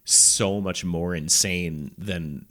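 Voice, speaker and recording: Sick man with a very deep voice. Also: steady tone, very slow, fairly bright tone. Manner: rambling, joking, laughs a lot. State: sick, sore throat. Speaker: podcast co-host. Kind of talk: casual chat.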